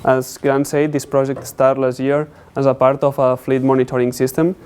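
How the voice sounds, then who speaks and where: Young guy presenting his tech project, clear smooth voice, kinda hesitant. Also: medium pitch, level tone, normal speed, darkish tone. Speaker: student presenter. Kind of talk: technical capstone presentation.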